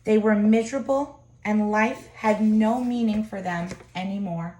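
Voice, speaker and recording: A woman reading a children's picture book aloud in an expressive way, pausing to comment to her listeners. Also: medium pitch, slight background noise. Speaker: storybook reader. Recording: echoey room.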